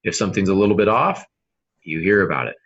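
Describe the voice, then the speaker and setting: Deep-voiced man with a forceful edge. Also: lively intonation, quick pace, muffled recording. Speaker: podcast co-host, novelist. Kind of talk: conversational discussion of fiction craft.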